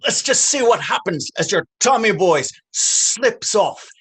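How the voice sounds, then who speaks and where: An actor playing a cruel, taunting police officer in a stage drama, with a mocking, menacing drawl. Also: male voice, high-pitched, expressive, unhurried, fairly bright tone, husky, exaggerated voice. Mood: cruel, gloating. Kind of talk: stage readers theater drama.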